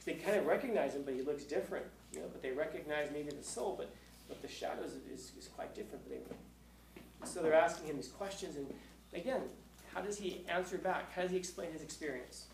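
A man in his fifties, a high school teacher lecturing a class, acting out scenes with playful voices. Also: fairly quick, noisy background. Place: classroom, indoors. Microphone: far camera. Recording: echoey classroom from far off.

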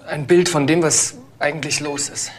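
An actor playing a talkative, philosophical young biology student in a crime drama, rambling and stammering, unfazed by an intruder. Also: male voice, on the high side, fairly even intonation, moderate pace, a little hiss or hum, close to an everyday voice. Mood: casual, musing. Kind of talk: naturalistic German crime drama acting.

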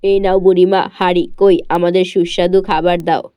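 A woman's voice: lowish voice, little pitch movement, moderate pace, warm tone, smooth, no room sound, voice pushed down, close to an everyday voice. A woman voicing a poor, hungry boy in a cartoon story, wishful and eager.

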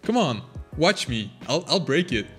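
Young man, casual Q&A vlog, slightly high voice, faint background music, small indoor room. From Belgium. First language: Flemish. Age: young adult. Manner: chatty, animated, quick. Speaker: channel host. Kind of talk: casual Q&A answering viewer questions. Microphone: fixed camera, seated at desk.

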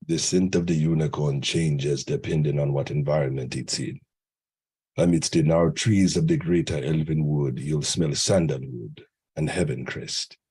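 A man playing a mystical fantasy lore narrator with a husky voice and measured storybook delivery. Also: a bass voice, fairly even intonation, warm tone, full character voice.

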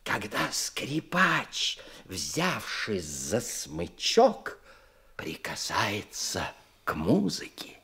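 An actor playing a passionate, exacting old violin teacher in a Soviet radio drama, theatrical and emphatic, with a husky voice. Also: male voice, lowish voice, wildly swooping pitch, very slow, pushed and bright, full character voice. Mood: rapt, instructive.

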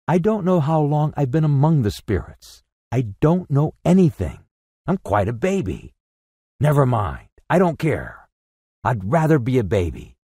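A man performs an old miser from a Victorian storybook, newly repentant and full of fervor. He exclaims and swoops across a very wide range of pitch. The voice is dry and close, with a soft, mellow edge. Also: very animated, a little slow, slightly rough, no room sound. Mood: giddy joy. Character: elated repentant old miser, giddy, pleading, Victorian Englishman.

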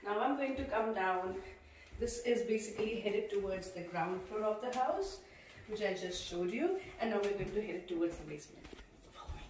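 A woman's voice: medium pitch, lively intonation, moderate pace, darkish tone, distant mic, slight background noise. A young woman, a Punjabi speaker, chatting casually as she walks through an empty house, with many fillers. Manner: chatty, walks while talking, frequent uh fillers. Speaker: vlogger. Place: empty house, indoors, bare rooms. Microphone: handheld camera at a distance. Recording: echoey empty rooms, voice from off mic.

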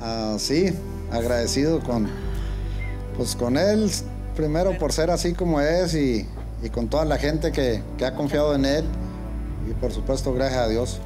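Halting middle-aged man, music behind. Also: medium pitch. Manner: halting, grateful, plainspoken. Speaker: contestant's father in the audience. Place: TV studio audience seats. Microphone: handheld microphone held to him. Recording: music behind in live studio.

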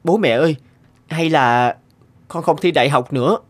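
Man playing a high, insistent teenage farm boy. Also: normal speed, very noisy, close to an everyday voice. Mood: curious, hesitant. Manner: earnest, insistent. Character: stubborn, naive teenage farm son. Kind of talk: animated family drama dubbing. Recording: music behind the voice.

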